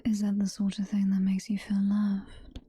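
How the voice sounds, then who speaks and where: A British woman voicing a sweet, motherly, possessive demon girlfriend in a slow, cooing, breathy whisper for intimate ASMR roleplay. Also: mid-range voice, monotone, mellow, no echo, studio quality. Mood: adoring, soothing. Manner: slow, cooing, full of pet names.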